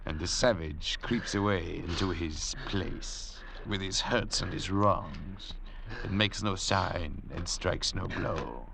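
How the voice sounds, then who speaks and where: An actor playing a grave, lecturing ship's doctor stranded on a raft, who also narrates, in 1940s radio acting style. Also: male voice, low-pitched, creaky, noisy background, full character voice. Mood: solemn. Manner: measured, pronouncing judgments in a lofty tone.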